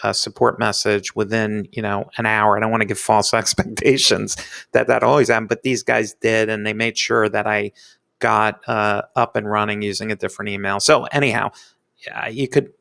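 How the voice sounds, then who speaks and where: A man giving a casual screencast software review in an informal, halting but enthusiastic way. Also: on the low side, level tone, vocal fry, no room sound. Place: indoors, screen-recording voice-over.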